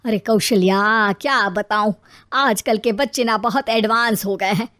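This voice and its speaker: A woman playing a domineering, sharp-tongued middle-aged mother-in-law in an acted moral story, scolding and taunting theatrically. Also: mid-range voice, unhurried, close to an everyday voice. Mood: complaining.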